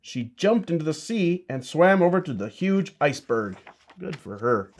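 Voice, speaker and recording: A man's voice: a little high, sing-song, moderate pace. Man reading storybooks to children. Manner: simple, encouraging asides addressed to kids.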